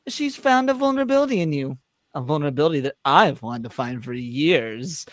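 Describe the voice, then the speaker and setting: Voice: medium pitch, expressive, brisk, no room sound, natural voice. Q, someone doing a swooping theatrical trickster. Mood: smug warning. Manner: theatrical, taunting, mock-wounded delivery. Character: Q, taunting theatrical omnipotent trickster alien. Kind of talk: amateur live script read.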